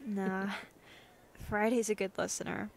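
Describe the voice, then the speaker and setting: A woman's voice: medium pitch, level tone, unhurried, vocal fry, slight background noise. A woman playing a young, bashful, dry-humoured goth college girl in a casual ASMR roleplay. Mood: friendly. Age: young adult. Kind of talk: ASMR roleplay audio drama.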